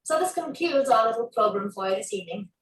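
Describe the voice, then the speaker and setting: A woman in her twenties, an actress, playfully running through a rapid string of English accents in a played clip. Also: fairly high pitch, expressive. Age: 20s. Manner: switches between many English accents, playful. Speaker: actress doing an accent showcase. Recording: roomy sound from a distance.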